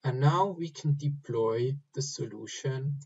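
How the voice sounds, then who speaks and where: A man giving step-by-step instructions for a software screen recording, speaking in short, halting phrases. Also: mid-range voice, breathy edge, dull audio. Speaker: software tutorial presenter. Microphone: voice-over recorded over screen capture.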